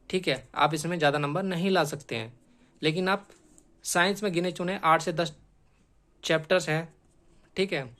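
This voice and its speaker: A man's voice: fairly high pitch, fairly bright tone. A man explaining exam strategy like a coaching teacher, repeating key points emphatically and often checking in with short tag questions.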